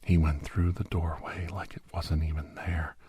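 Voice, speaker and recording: A man playing a grim doctor recounting a bloody werewolf rescue in a hushed, breathy, halting ASMR storytelling style. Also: low voice, little pitch movement. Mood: intense, hushed. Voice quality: breathy, husky near-whisper. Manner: hushed, halting, dramatic delivery. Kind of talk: whispered ASMR horror storytelling.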